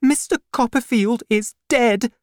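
A woman voicing a frightened, tearful young widowed mother who speaks softly and timidly in a storybook reading. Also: mid-range voice, slow, voice pushed up, lightly acted. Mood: indignant. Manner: soft, timid.

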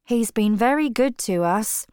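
A tired mother, fretting and pleading, as a woman reading puts her on. The voice is clear and smooth with a lively rise and fall. It is recorded dry and up close. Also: fairly high pitch, a little slow, no room sound, voice pushed up. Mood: worried. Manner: anxious, pleading. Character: tired older mother, worried and anxious.

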